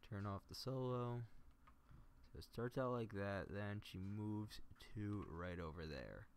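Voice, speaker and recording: A man's voice: lowish voice, measured pace, slight background noise. A man livestreaming a casual, unscripted tutorial, thinking aloud and muttering to himself as he works. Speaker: livestreamer demonstrating video editing. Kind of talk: casual unscripted tutorial commentary while working.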